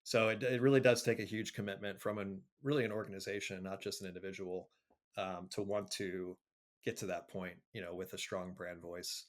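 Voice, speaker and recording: A man answering interview questions conversationally, thinking aloud in a measured way with frequent pauses. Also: sits low, flat delivery. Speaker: interview guest, marketing agency executive.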